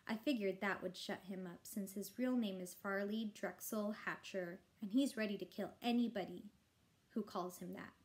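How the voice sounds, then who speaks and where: A woman's voice: mid-range voice, normal speed, darkish tone. A woman narrating a children's story expressively, like a teacher reading aloud.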